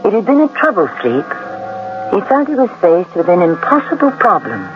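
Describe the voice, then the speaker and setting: A man's voice: high voice, wildly swooping pitch. Casting: male suspense host, ominous scripted narration, 1970s radio, muffled broadcast with music. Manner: dramatic, deliberate, ominous. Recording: dull vintage radio broadcast.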